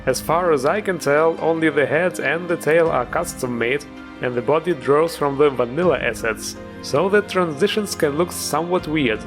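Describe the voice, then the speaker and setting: Male gaming host, slightly high voice, playful, tongue-in-cheek narration, background music. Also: normal speed. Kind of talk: jokey scripted mod review narration.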